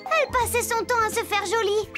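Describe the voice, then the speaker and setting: A playful little girl narrates a children's cartoon tale. Her voice is extremely high and expressive, confiding in the listener, with clear background music. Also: fairly quick. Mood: storytelling.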